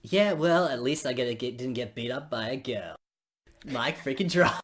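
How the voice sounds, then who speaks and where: A man's voice: mid-range voice, expressive, moderate pace, a bit bright. A man voicing a smug, mocking teenage school rival in a visual novel read-aloud. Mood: taunting. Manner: mocking, cocky.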